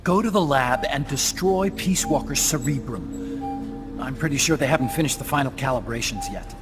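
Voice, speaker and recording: An actor playing an earnest, bookish scientist in a video game, explaining things a little awkwardly. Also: male voice, mid-range voice, lively intonation, normal speed, lightly acted. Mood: urgent, confiding. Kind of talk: video game cutscene acting. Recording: music under the voice.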